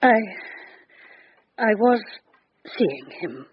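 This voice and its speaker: Anxious, husky woman. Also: mid-range voice, sing-song, exaggerated voice. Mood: reluctant. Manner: halting, emotional. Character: anxious, defensive married woman hiding an affair. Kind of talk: naturalistic radio drama acting. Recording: dull lo-fi radio broadcast.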